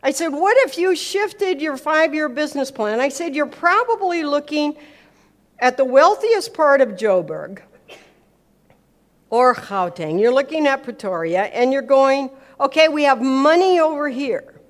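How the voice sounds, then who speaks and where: Animated female storyteller speaking, higher-pitched voice. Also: measured pace. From America and Switzerland. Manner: emphatic, repeats words for effect, acts out dialogue. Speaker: speaker giving a talk on faith and business. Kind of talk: informal public teaching talk with anecdotes.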